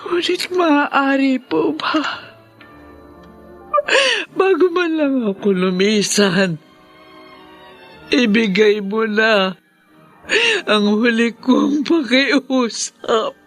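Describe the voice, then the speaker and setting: A woman's voice: on the high side, fairly even intonation. An actress playing a frail, sorrowful older mother pleading prayerfully in a Filipino radio drama. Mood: tearful pleading. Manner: prayerful, pleading, drawn-out delivery. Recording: dramatic music behind the voice.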